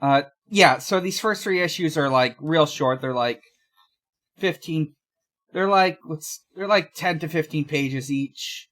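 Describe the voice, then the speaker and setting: A podcast host talking casually in a halting, hesitant way, often pausing and trailing off. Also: mid-range voice, a bit bright. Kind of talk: casual podcast discussion and recap.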